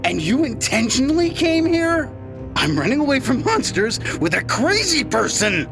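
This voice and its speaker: A man's voice: very high-pitched, very animated, normal speed, gravelly, pitched above the performer's own voice, full character voice. A man voicing a panicked, breathless young man fleeing monsters in an audio drama. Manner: breathless, frantic. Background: music.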